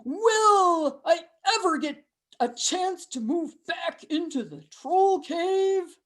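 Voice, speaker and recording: A woman's voice: very high voice, expressive, slow, a bit bright, exaggerated voice. A woman voicing a grumpy, hungry male troll who lives under a bridge, with a folksy, chuckling drawl. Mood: scheming. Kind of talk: storytelling with voices.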